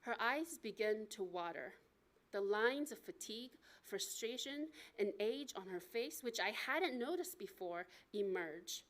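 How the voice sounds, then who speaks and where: An American woman reading aloud from her memoir as its author, in an expressive, deliberate storytelling manner at a live event. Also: medium pitch. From United States. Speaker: author reading from own book.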